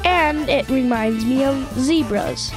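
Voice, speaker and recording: A woman's voice: high-pitched, slow pace, music in the background. A female co-host in a scripted, chatty comedy radio show. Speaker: co-host speaking with parent.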